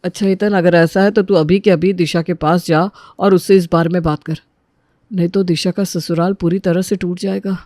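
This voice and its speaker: A woman's voice: lowish voice, fairly even intonation, moderate pace, a little mellow, no room sound, studio quality, natural voice. A woman acting a caring young man of the family in an audio drama, earnest and soothing. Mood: concerned.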